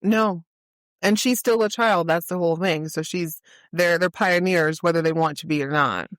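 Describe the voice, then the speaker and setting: A woman's voice: on the low side, moderate pace, dry recording. A woman in her forties hosting a podcast, chatting casually and reacting to her guest. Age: 40s. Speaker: podcast host.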